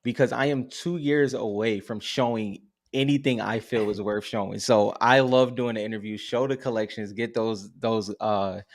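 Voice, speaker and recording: A man's voice: lowish voice, steady tone, moderate pace, few pauses. A man co-hosting a live podcast with laid-back, slangy, colloquial banter.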